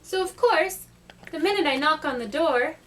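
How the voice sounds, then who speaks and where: A woman's voice: high-pitched, animated, moderate pace. A woman voicing an aggrieved, sly male wolf who tells his side in a chatty, self-justifying puppet show manner. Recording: distant microphone.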